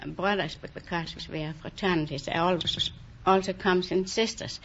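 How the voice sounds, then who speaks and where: A woman's voice: fairly low pitch, steady tone, moderate pace. Hesitant woman in her sixties. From Denmark. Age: 60s. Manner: hesitant, filler-heavy, giggly answers. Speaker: quiz contestant, cook. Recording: dull old radio broadcast with faint hiss.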